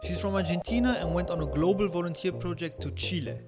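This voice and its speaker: A man's voice: sits high, fast talker, loud music behind the voice. A man hosting a podcast, introducing and interviewing a guest. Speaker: podcast host.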